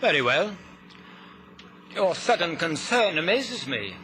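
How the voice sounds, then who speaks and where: Menacing, formal treasure hunter, noisy recording. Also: male voice, fairly high pitch, big pitch swings, takes it slowly, sharp tone, exaggerated voice. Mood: formal, suspicious. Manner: formal, clipped, stilted phrasing. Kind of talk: 1950s radio drama acting. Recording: noisy old radio recording.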